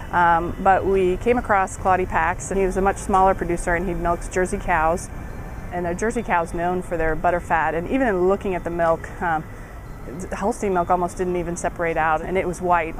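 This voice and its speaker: A woman's voice: lowish voice, rough recording. A woman cheesemaker explaining in a chatty way with a few fillers. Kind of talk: casual interview. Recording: outdoor recording with noise.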